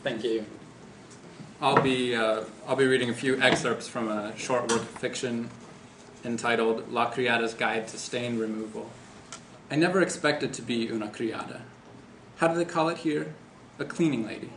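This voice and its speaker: A man's voice: medium pitch, fairly even intonation, moderate pace. A man reading a first-person short story aloud as an author. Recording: echoey room, faint background noise.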